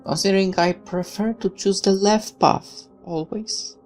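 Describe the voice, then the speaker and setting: A man reading a visual novel aloud as a let's player, in expressive narration broken up by casual asides. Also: high voice, a little slow, faint music behind.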